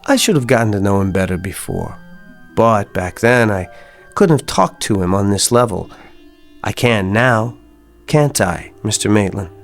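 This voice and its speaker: A man's voice: fairly low pitch, animated, measured pace, faint music behind. An actor with an American accent playing a slick, boastful, pushy man on the make in a radio drama, speaking with smug insistence.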